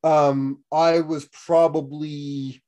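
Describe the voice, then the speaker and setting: A man's voice: on the high side, level tone, very slow, darkish tone. Sick, rambling male podcast co-host. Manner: rambling, with many fillers and restarts. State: sick, losing his voice. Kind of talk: casual wrestling podcast chat.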